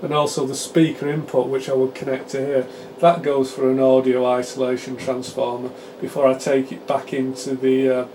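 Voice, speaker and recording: A British man gives an informal hands-on technical explanation as a presenter, chatty and halting as he explains things. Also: mid-range voice, level tone, noisy background. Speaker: presenter demonstrating radio repair equipment.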